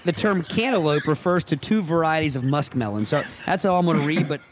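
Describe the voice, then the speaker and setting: A man's voice: a little high, brisk. A man co-hosting a casual group chat, joking and chatty. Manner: joking, chatty, repeats words. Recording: noisy call audio.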